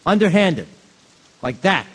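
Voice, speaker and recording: A man's voice: sits high, very animated, slow pace, fairly bright tone, slightly rough, exaggerated voice. A man acting a plain-spoken, streetwise juror in an audio drama, firm and matter-of-fact. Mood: assured. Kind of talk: stage-style radio drama acting.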